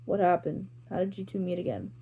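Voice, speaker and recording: A woman's voice: on the low side, animated, a little fast, creaky, breathy edge, phone-quality sound, heavily acted. A woman voicing a blunt, incredulous teenage boy in an amateur fan fiction reading.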